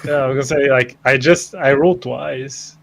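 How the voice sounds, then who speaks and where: Tired man, mid-pitched voice. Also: normal speed, vocal fry. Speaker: tabletop roleplaying player. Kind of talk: casual chat after a game session.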